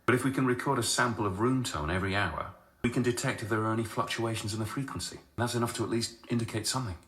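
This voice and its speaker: An actor playing a sardonic sound engineer with a wry, sarcastic way of talking. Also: male voice, mid-range voice, quick pace, fairly bright tone, a little hiss or hum, lightly acted. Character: sardonic male sound engineer, dry wit. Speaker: sound researcher. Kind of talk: radio drama acting.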